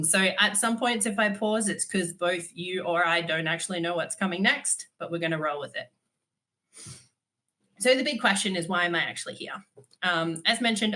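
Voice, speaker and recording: A woman's voice: lowish voice, bright, forceful tone. A woman, giving a conference talk while stuffy from a cold, candid with dry humour and frequent ums. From Australia. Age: 30s. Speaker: conference speaker.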